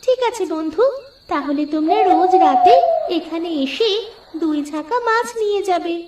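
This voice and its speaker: A woman plays a magical talking fish from a cartoon fable. The voice is high, kindly and encouraging, like a generous friend granting favours. Noticeable noise sits behind the speech. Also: animated, moderate pace, echoey room, far from the mic. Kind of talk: cartoon voice acting.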